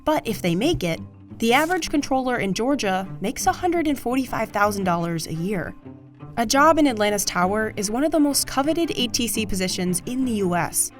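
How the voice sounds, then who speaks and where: Higher-voiced American woman narrating over music. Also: lively intonation, a little fast. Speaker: documentary narrator. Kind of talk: scripted documentary narration.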